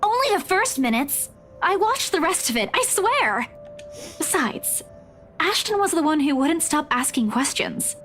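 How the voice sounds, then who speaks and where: A caring, nervous young woman in a game drama. Her voice sits very high and she trips over her words as she pleads. Soft music runs underneath. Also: big pitch swings, exaggerated voice. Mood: eager, flustered. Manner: halting, stammering, pleading. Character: anxious, caring, chatty young woman, a worried friend. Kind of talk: visual novel voice acting. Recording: music behind the voice.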